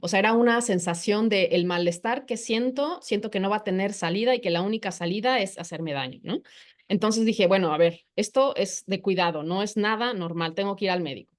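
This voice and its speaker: A woman's voice: mid-range voice, fairly quick. Woman psychologist in a conversational podcast. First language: Spanish. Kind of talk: podcast conversation, personal storytelling.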